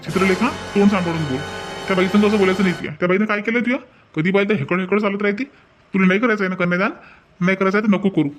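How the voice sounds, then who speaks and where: A man's voice: high voice, steady tone, rapid-fire, over music, natural voice. A man voicing a stern, scolding village husband in an amateur cartoon, curt and commanding, with a Varhadi Marathi accent. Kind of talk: amateur cartoon comedy dialogue.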